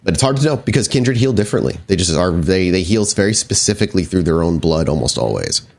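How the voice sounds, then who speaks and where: A man's voice: low-pitched, animated, fast talker, gravelly, a little mellow. A man working as storyteller of a tabletop role-playing game, improvising narration and explaining the rules descriptively.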